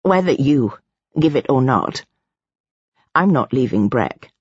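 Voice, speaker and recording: A woman voicing a deferential yet stubbornly loyal female retainer in an audiobook, quiet, tentative and slightly shaky. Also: extremely low pitch, lively intonation, slow pace, breathy edge, no room sound, dull audio, pitched below the performer's own voice, full character voice.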